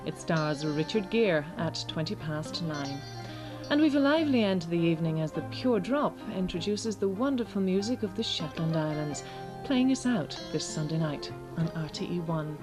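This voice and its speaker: An Irish-accented woman reading scripted television continuity announcements in a polished, measured way. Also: lowish voice, expressive, music in the background.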